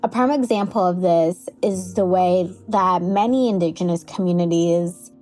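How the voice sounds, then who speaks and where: Measured woman reading a reflection. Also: lowish voice, mellow, soft background music. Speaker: social media coordinator. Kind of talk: read personal reflection.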